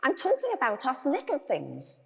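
A woman's voice: high voice, wildly swooping pitch, moderate pace, vocal fry, fairly bright tone, voice pushed up, exaggerated voice. A woman voicing a small, worried, fussy toy with a fretful manner, in a storybook reading. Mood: scoffing. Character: worried, fussy little toy, doubtful and quick to scoff. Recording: long hall reverb.

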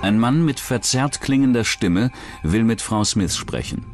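A man's voice: medium pitch, lively intonation, a little slow. A man reading scripted narration for a true-crime documentary as its narrator. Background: background music.

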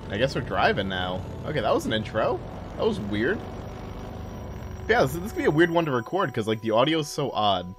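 A man's voice: mid-range voice, very animated, a little fast. A man doing casual playthrough commentary as a gaming host, thinking aloud and talking back to game characters. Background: game ambience.

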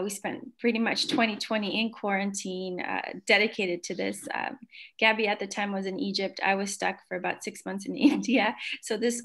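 A woman in her thirties, born in Slovakia, discussing her book on a video call from a room at home. She talks thoughtfully, with frequent fillers and self-corrections, and her medium-pitched voice carries a gravelly fry. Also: fairly bright tone. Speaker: book author.

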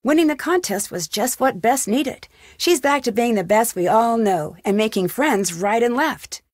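Young lady detective from a mystery game, upbeat n wry, bit high voice, really dry close recording. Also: lively intonation, normal speed, dry recording. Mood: narrating, upbeat. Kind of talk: scripted video game voice acting.

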